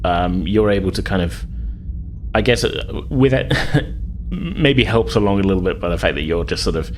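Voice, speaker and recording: A man's voice: deep voice, expressive, normal speed. A man running a tabletop game as game master, narrating in a rambling, hesitant, conversational way and explaining as he goes. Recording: clear background noise.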